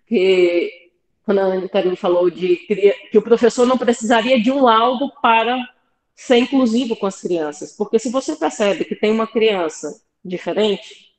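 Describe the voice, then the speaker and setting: A woman's voice: medium pitch, a little mellow, echoey room, rough recording. A woman from Fortaleza with a Brazilian Portuguese accent, talking casually as a podcast guest in a thoughtful, hesitant way, speaking from home with headphones. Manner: thoughtful, hesitant, with light laughs and asides. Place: home room with plants behind. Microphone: headphones on a video call.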